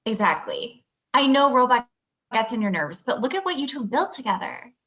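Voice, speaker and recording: A woman's voice: a little high, big pitch swings, moderate pace, sharp tone. A young woman playing a tactful, supportive fiancee in a comedy table read over video call. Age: young adult.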